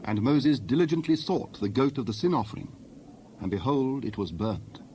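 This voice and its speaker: A man narrating a dramatized scripture reading in a solemn, measured way. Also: mid-range voice, animated, warm tone, a little hiss or hum.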